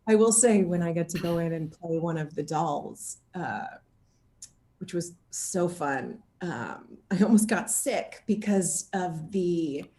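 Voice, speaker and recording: Echoey, animated woman chatting as a guest. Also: on the low side, slow pace. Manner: animated, acts out quoted reactions. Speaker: guest, actor interviewed about game casting and motion capture. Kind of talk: casual interview chat. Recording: echoey room sound.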